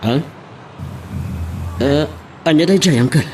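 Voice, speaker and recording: A young ilocano driver in a radio drama, earnest n polite, bit of background noise. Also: male voice, on the high side, expressive, slow, darkish tone. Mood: dismissive. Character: earnest young man, family driver, respectful nephew.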